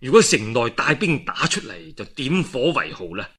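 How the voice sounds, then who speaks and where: A male storyteller voicing an envoy who soberly reports a battle plan in Cantonese, measured and low-key, within a historical tale. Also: sing-song, bright, forceful tone, slightly rough, exaggerated voice. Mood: earnest, hushed.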